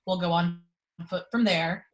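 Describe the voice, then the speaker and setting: A woman's voice: on the low side, a little slow, echoey room, lo-fi sound, close to an everyday voice. A woman reading the part of an earnest, level-headed young man in an amateur horror screenplay table read. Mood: urgent, steadying.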